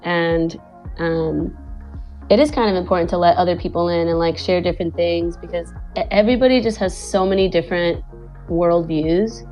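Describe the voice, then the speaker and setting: Chatty woman, lower voice, many fillers. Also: steady tone, normal speed, mellow, faint music behind. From Venezuela. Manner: rambling, full of "like" and "you know". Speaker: guest animator and director. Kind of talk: casual chat in an online audio space.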